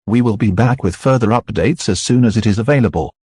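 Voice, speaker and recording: A man reading formal, scripted broadcast announcements in a steady tone. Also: lowish voice, quick pace.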